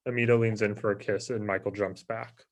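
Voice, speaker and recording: A man's voice: lowish voice, level tone, brisk. A man narrating scripted stage directions for a live table read.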